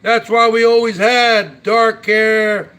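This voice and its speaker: Brash high-voiced man in his forties. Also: flat delivery, slow pace, a bit bright, clear, resonant tone. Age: 40s. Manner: brash and boastful, keeps tagging "huh?". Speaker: YouTube show host. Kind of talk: casual solo chat to viewers.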